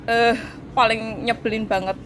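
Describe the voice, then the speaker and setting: A woman tells her story in an easygoing chat, animated and quick to laugh. Her voice sits a little high. Steady background noise runs under her voice. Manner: animated, laughs often while telling. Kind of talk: casual chat. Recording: noisy background.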